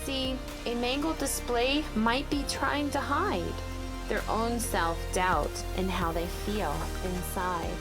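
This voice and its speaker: Slow, high-voiced woman reading a storybook. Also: fairly even intonation, music in the background. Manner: deliberate, addressing young children. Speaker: children's storybook narrator. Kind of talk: expressive read-aloud of rhyming picture book.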